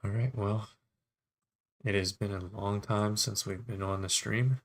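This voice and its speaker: A man hosting a casual fly tying livestream, a little raspy from yelling, chatting easily while setting up his gear. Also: lowish voice, steady tone, unhurried.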